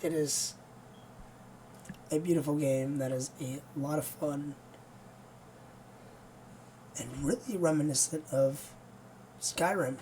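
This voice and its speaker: A gaming streamer with a hoarse, nearly lost voice, chatting casually and rambling to viewers before playing. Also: mid-range voice, slow, long pauses, mellow, a little hiss or hum, low-quality recording. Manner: rambling, easygoing, many fillers.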